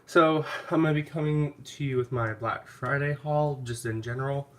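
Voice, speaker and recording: A man's voice: mid-range voice, moderate pace, fairly bright tone. A man with a stuffy, nasal voice from clogged sinuses, chatting casually and hesitantly through a haul video at home, with many ums along the way. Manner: rambling, hesitant, full of ums. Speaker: candle vlogger.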